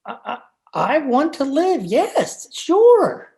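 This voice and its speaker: Woman playing a high-voiced, stammering meek husband. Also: very animated, takes it slowly, gravelly, mellow, heavily acted. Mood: frightened. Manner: stammering, hesitant. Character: timid, henpecked, frightened husband, a meek little man. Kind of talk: old time radio horror acting. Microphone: video call.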